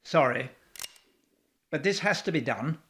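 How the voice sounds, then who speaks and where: Casting: philosophical woman doctor of science, resolute, lecturing, lively intonation, for audio drama. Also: low-pitched, heavy background noise. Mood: regretful. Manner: measured, lecturing. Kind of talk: radio play acting.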